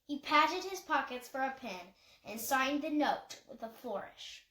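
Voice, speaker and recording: A woman's voice: sits high, animated, a little slow, bright, forceful tone, reverberant, low-quality recording. A woman reading a novel aloud as scripted audiobook narration. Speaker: audiobook reader.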